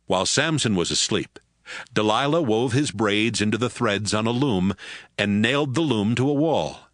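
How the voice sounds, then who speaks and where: A man narrating scripture in a steady, even storytelling manner. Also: lowish voice, normal speed, no room sound, studio quality.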